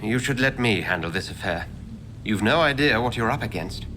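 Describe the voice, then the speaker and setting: Urbane, secretive gentleman doctor played by a man. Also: on the low side, lively intonation, natural voice. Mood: cautioning. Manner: formal, polite, measured. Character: urbane, unflappable male doctor, secretive vampire investigator. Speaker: voice actor in a video game. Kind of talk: scripted game cutscene dialogue. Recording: music under the voice.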